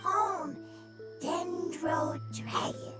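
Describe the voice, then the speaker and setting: A woman voicing a small, cute fungus creature in a video game, squeaky and halting, speaking in broken fragments. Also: fairly even intonation, loud music behind the voice, heavily acted. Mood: hopeful, halting. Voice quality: tiny, squeaky.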